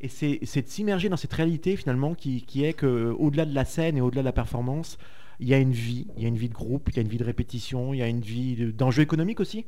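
A man's voice: medium pitch, very fast, mellow. A man, a journalist co-hosting a radio chat, earnestly explaining his ideas. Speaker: journalist co-host. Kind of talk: casual chat.

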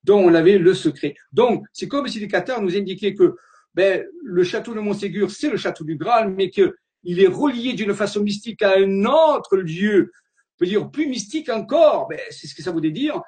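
A man's voice: high-pitched, lively intonation, normal speed, a little mellow. A man giving an earnest slide-show lecture, wandering from point to point and often repeating his words as he searches for them.

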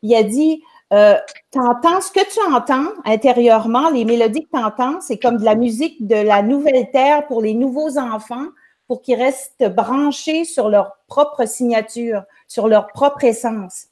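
A woman in her forties with a Québécois French accent, speaking in a somewhat high voice. She tells a long personal story in a chatty, rambling way, full of restarts. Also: unhurried, a little mellow. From Quebec. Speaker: guest, music teacher. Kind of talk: casual storytelling on a live show.

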